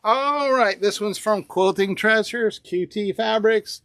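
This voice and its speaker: A man's voice: sits high, slow, a bit bright, no room sound. A man in his sixties co-hosting a fabric shop video, describing each bolt in a rambling, casual way with many fillers and upbeat price calls, recorded inside the store. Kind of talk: casual product showcase. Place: indoor fabric store among shelves. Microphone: small camera on table nearby.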